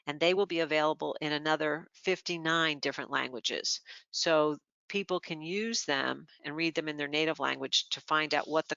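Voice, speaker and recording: Webinar presenter, bright crisp delivery with some fry, methodical slide-by-slide explainer, dry, slightly dull audio. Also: mid-range voice, no room sound. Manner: steady, explanatory, walks through each slide point by point.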